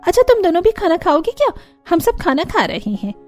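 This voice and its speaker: A bossy neighbourhood auntie from a cartoon story , played by a woman. Her voice is high and insistent, with a crafty briskness and faint music behind it. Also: big pitch swings, darkish tone. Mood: falsely hospitable. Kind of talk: Hindi cartoon story character voice.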